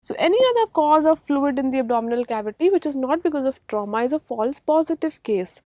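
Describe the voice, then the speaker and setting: A woman's voice: high-pitched, lively intonation, fast talker, warm tone, dry recording. A woman with an Indian accent giving a medical lecture in an emphatic teaching manner, repeating key terms and checking that students follow. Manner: didactic, emphatic repetition, frequent check-in questions. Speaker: radiology lecturer. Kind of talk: medical exam-prep lecture.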